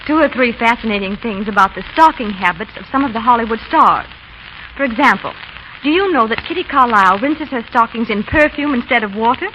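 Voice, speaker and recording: Female 1930s radio correspondent, bright highish voice, perky scripted chat, dull recording. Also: animated, fairly quick, slight background noise.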